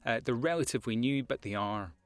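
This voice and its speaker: A man's voice: mid-range voice, fast, no echo. A Scottish man, a voiceover artist, talking casually to camera with career advice, with frequent fillers and repeated words. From Scotland.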